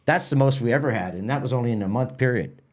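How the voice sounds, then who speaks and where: A middle-aged or older man chatting as an interview guest, halting and repeating words as he goes. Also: medium pitch. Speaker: festival organiser, podcast guest. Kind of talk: casual interview chat.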